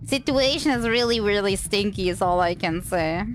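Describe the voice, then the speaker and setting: A woman's voice: mid-range voice, expressive, normal speed, soft background music. A woman livestreaming a game in a casual way, musing aloud and chatting to the characters.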